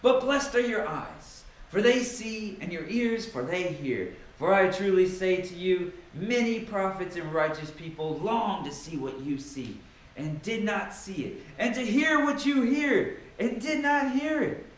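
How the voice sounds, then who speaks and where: In a heavily reverberant room with a faint noise floor, a man reads scripture aloud. His fairly high voice swings widely in pitch, and he delivers the verses theatrically, with emphatic pauses. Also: expressive. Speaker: Bible reader. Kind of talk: dramatic scripture reading with informal asides.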